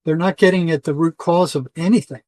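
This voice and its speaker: An older man answering as an interview guest in a conversational, halting way, often repeating words and restarting. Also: on the high side, darkish tone, no echo, clean studio sound. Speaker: interview guest, retired psychotherapist.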